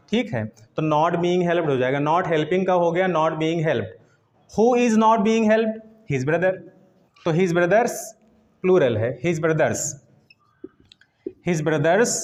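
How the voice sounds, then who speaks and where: High-pitched man teaching grammar. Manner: didactic, repeats key points. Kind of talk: classroom lesson, explaining step by step.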